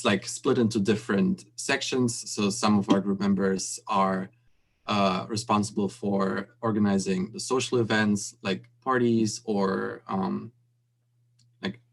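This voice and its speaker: Flat, low-voiced young man. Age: young adult. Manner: chatty, informal, frequent "um" and "like". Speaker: student panelist. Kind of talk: panel Q&A answer.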